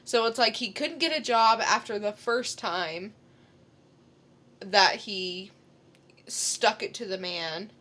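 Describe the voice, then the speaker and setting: A woman's voice: medium pitch, takes it slowly, long pauses, sharp tone. A woman co-hosting a podcast, chatting casually as she reviews films. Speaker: podcast co-host. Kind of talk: casual film review chat.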